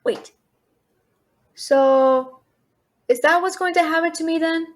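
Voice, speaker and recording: Woman acting as young fantasy thief-alchemist, captive, high voice, unhurried, feisty, sassy, incredulous, audio roleplay. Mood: tempted, wondering. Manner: expressive, incredulous, sassy, questioning. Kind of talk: fantasy audio roleplay, one-sided dialogue to listener.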